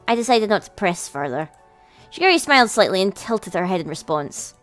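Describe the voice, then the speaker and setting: A woman's voice: medium pitch, very animated, a little fast, a bit bright. A woman hosting a let's play, narrating a visual novel expressively with dramatic storytelling and casual asides. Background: game music.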